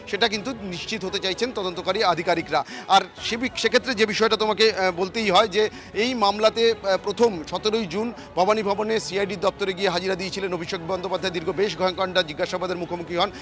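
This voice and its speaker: A man's voice: high voice, a bit bright. A man with a West Bengal accent reporting live from the street as a field news reporter, in a wordy running explanation. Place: outdoors in a city, outside a courthouse.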